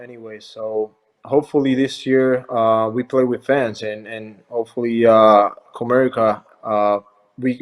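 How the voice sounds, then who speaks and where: A young man from Rico, answering interview questions as a baseball pitcher in a halting, friendly way. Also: darkish tone. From Puerto Rico. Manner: halting, many fillers and restarts, friendly.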